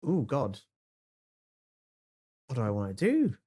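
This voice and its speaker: A woman chatting casually in character as a player in a tabletop game, in hesitant, halting phrases. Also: rumbling low, wildly swooping pitch, dark tone, husky. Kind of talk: casual in-character improvised chat.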